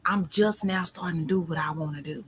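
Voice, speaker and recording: A woman's voice: on the low side, fairly quick, husky. A woman in her thirties who speaks emphatically and affirmingly as a panelist in a casual conversation. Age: 30s.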